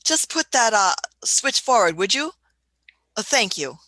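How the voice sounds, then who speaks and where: A woman's voice: medium pitch, very animated, a little slow, pushed and bright, dry recording, full character voice. A woman acting a kindly, absent-minded elderly time-travelling Doctor in a radio play, with hesitant, old-fashioned, fussing phrases. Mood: musing.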